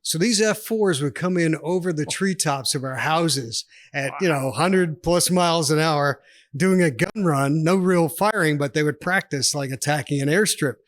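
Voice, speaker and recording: A man's voice: fairly high pitch, normal speed, no echo. A man in his sixties from New York State, a retired army officer telling stories as a podcast guest in a chatty, rambling way, laughing often. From New York State, USA. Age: 60s. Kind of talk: casual storytelling interview.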